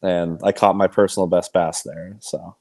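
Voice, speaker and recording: Male angler guest, somewhat low pitch, relaxed conversational delivery, brief answers. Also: normal speed. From New England, near Massachusetts. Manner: laid-back, brief answers, chuckles often. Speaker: amateur tournament angler, guest. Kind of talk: casual interview chat.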